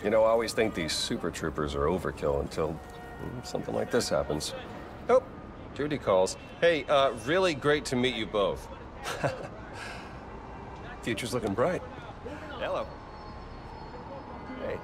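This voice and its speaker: An actor playing a slick, flattering corporate research executive with an American accent, glib and chummy, in a video game cutscene. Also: male voice, lowish voice, measured pace, long pauses, noisy background. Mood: impressed, chummy.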